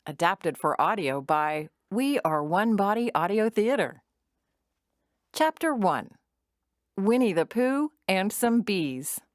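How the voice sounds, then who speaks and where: A woman narrating a scripted children's story in an expressive, measured storytelling manner. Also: mid-range voice, no echo, clean studio sound.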